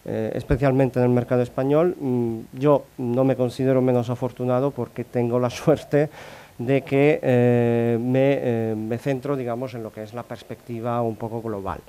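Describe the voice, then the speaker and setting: A man's voice: medium pitch, steady tone, moderate pace, dark tone. A middle-aged man from Italy who speaks with an Italian accent, giving a conference talk with many hesitations and restarts.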